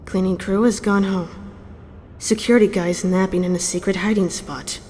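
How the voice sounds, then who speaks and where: A woman's voice: mid-range voice, steady tone, normal speed, big-room sound, slight background noise, lightly acted. A woman voicing a loyal, confident female arctic fox ninja in clipped, hard-boiled comic narration. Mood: cool, businesslike. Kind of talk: comic dub, first-person scripted narration. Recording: loud music behind the voice. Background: music.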